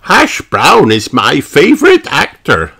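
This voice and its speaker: A man voicing a cheerful, helpful female rabbit from a children's storybook, high-pitched with a folksy manner. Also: wildly swooping pitch, slow pace, gravelly, pushed and bright, husky, exaggerated voice.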